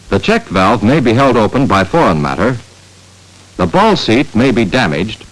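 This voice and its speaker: An American man reads scripted instructions as the narrator of a 1950s training film. His mid-range voice moves through lively rises and falls while staying measured and emphatic. The sound is that of a vintage film soundtrack. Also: mid-range voice, rough recording.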